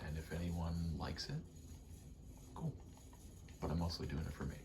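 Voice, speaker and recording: A man with a very deep, creaky voice rambles softly in an ASMR style, often slipping into a breathy whisper. He is casual, sweary and self-deprecating. A rattling vent adds clear noise behind him. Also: flat delivery, moderate pace. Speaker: video creator rambling to camera. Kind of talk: soft-spoken ASMR ramble.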